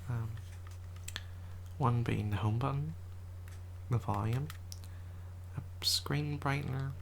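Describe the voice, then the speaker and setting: An ASMR creator in a quiet house, whispering a soft, rambling show and tell and often correcting themselves, voice a little rough from a cold. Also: medium pitch, slow, slight background noise. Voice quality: whispered, soft-spoken delivery. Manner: rambling, self-correcting, chatty.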